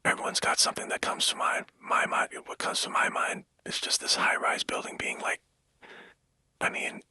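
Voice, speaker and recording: A man speaking in a breathy, unvoiced whisper, rambling casually and hesitantly in a soft ASMR-like monologue. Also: normal speed, dry recording.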